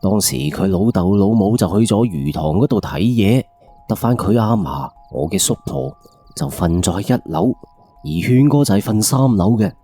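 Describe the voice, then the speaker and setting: A man's voice: on the low side, expressive, moderate pace, mellow, husky, faint music behind. A man with a Cantonese accent narrating a first-person ghost story in a colloquial, suspenseful late-night radio style.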